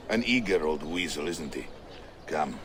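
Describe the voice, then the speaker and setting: An actor playing an arrogant, suspicious Janissary captain in a video game, commanding and sly. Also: male voice, a bass voice, lively intonation, slow, noisy background, exaggerated voice. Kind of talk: dramatic video game acting.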